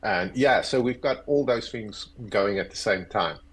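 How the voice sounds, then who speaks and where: A man's voice: fairly low pitch, a little slow, a bit bright, slightly rough. A South African man in his fifties, a guest talking about his career in an easygoing, self-deprecating, rambling way over earbuds on a video call. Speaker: guest, agile coach. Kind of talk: casual interview chat.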